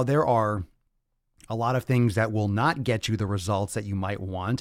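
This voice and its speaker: A man's voice: fairly low pitch, no echo, studio quality. A man speaking as a fitness coach and podcast host in a blunt, emphatic, profane rant, often repeating phrases to drive points home. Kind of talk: motivational rant to livestream audience.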